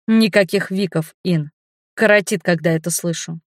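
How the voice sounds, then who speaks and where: A woman's voice: fairly low pitch, normal speed, no room sound, studio quality, lightly acted. A woman voicing a blunt, jaded, commitment-shy man with a curt manner in an audiobook. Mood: irritated. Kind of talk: audiobook character voice.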